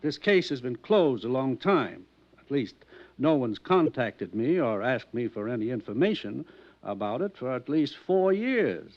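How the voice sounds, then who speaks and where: A man's voice: mid-range voice, big pitch swings, measured pace. An uneasy, evasive wealthy man in an old radio drama, polite on the surface but guarded. His voice rises and falls a lot. The sound is dull, like an old broadcast. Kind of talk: 1950s radio drama acting.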